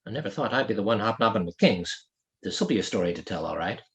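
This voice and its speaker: A man's voice: lowish voice, animated, quick pace, dull audio, pitched below the performer's own voice, close to an everyday voice. A man reading the part of a wry young woman, a ship's apprentice, in an informal manner in an audiobook. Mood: wry wonder. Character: wry young female English weathermaster's apprentice.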